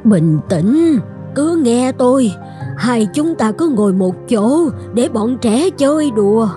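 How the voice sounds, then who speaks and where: A woman's voice: fairly high pitch, faint music behind. A woman playing an elderly, motherly peasant wife in a fairy-tale cartoon, speaking expressively. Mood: soothing. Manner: expressive, drawn-out exclamations.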